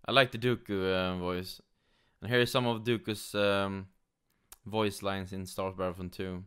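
A man from Sweden with a Swedish accent, giving casual, somewhat halting gaming news commentary. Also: low voice, little pitch movement, fairly bright tone, smooth. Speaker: gaming YouTuber. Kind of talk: casual news commentary, partly reading aloud.

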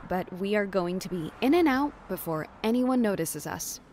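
A woman's voice: mid-range voice, animated, moderate pace, a little mellow, a little hiss or hum, lightly acted. An actress playing a bold, persuasive female thief in an audio drama. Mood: reassuring.

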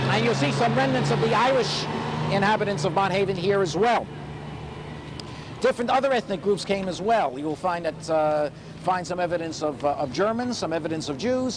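An older man, leading a walking tour as a historian and telling its history with animated, pun-loving lecturing. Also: high voice. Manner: animated storytelling lecturer, fond of puns. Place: outdoors on a city street by a graffiti wall. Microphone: camcorder a few feet away. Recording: noisy 1980s camcorder street recording. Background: city traffic.

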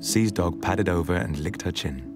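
A man narrating a poetry film in a measured, deadpan, storytelling way. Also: sits low. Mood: measured, wry. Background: prominent music.

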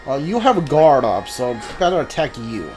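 A man's voice: medium pitch, sing-song, normal speed, darkish tone. A man doing casual game commentary, thinking aloud in short, muttered remarks as he plays. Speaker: let's play streamer. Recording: game music under voice. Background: video game music and sounds.

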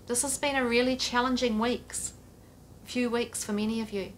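A woman's voice: on the high side, steady tone, normal speed, slight background noise. A middle-aged woman pastor giving an earnest, direct sermon to camera from her living room, gesturing as she speaks. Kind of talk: home church sermon to camera. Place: living room, seated on a sofa. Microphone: camera at a few feet, head and shoulders framing.

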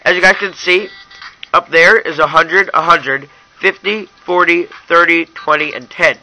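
A man's voice: on the high side, measured pace, bright, forceful tone, poor audio. A young man narrating a casual, hands-on app review in an informal way, talking straight to his viewers. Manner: informal, addresses viewers as you guys.

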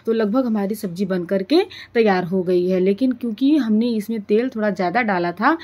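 A woman's voice: medium pitch, a little fast, a little mellow. A woman narrating a home cooking tutorial in an explanatory, step-by-step way. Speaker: home cooking show host.

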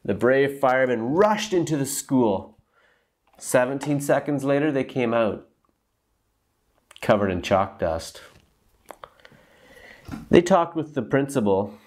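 A man in his thirties reading a children's story aloud with dramatic, deliberate emphasis and stretched words. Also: fairly low pitch, a little mellow. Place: small room at home, indoors.

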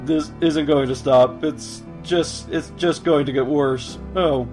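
A man's voice: on the high side, fairly even intonation, takes it slowly, darkish tone, faint music behind. A man playing a bitter, protective young skeleton brother in a comic dub, talking urgently and informally. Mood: bitter, frightened. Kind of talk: comic dub dramatic acting.

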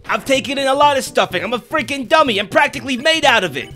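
A man's voice: very high-pitched, expressive, fast, sharp tone, faint music behind, full character voice. A man voicing a hot-tempered ghost in a training dummy, ranting and repeating himself in a comedic game dub. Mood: scornful. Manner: ranting, repeats words, easily flustered.

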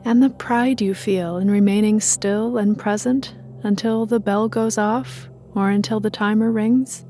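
A woman leads a guided meditation at a very unhurried pace. Her medium-pitched voice is mellow and relaxed, calm and measured in delivery. Soft music plays behind her.

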